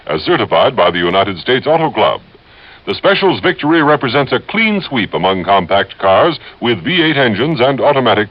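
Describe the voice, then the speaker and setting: A man announcing a scripted old-time radio advertisement with polished, emphatic salesmanship. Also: mid-range voice. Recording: dull old radio recording.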